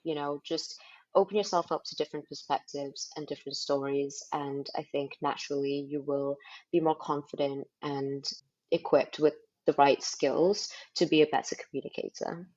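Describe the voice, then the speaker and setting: A woman's voice: low voice, steady tone, normal speed, darkish tone. A woman from Sri Lanka, a guest on an interview podcast, giving earnest, encouraging advice. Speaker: guest, business development executive. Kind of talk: interview answers. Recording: slightly muffled remote audio.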